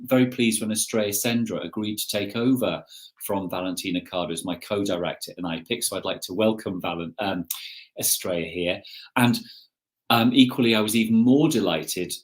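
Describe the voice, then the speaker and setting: A man giving a formal, measured event introduction as an academic host, sometimes halting as he goes. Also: low voice, rough recording.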